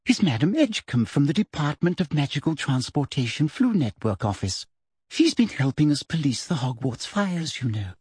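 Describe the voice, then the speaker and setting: A man's voice: fairly high pitch, sing-song, normal speed, darkish tone, husky, no echo, clean studio sound, heavily acted. A man voicing a simpering, falsely sweet woman official with a cruel streak underneath, as an audiobook character. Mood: sugary.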